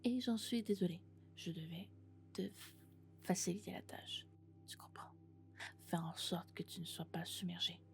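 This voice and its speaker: A woman playing a lovesick, possessive female robot in an ASMR roleplay, speaking in a hushed, breathy, intimate whisper. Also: fairly low pitch, normal speed, long pauses, soft background music. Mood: insistent, possessive.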